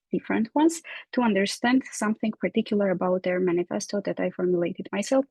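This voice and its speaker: Husky-voiced woman moderating an online town hall. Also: mid-range voice, darkish tone. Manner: orderly, procedural, frequent "uh" fillers. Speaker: event moderator.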